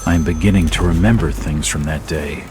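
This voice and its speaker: A man playing a brooding, world-weary private detective in a video game, with a measured, grave, terse delivery. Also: rumbling low, fairly even intonation, noisy background. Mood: grave, haunted. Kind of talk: noir video game narration. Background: music.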